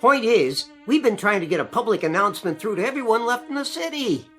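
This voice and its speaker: A man's voice: high voice, sing-song, fairly quick, bright, forceful tone, heavily acted. An actor playing a blunt, grim policeman giving warnings in a 1960s television drama. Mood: urgent. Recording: vintage TV soundtrack with music behind.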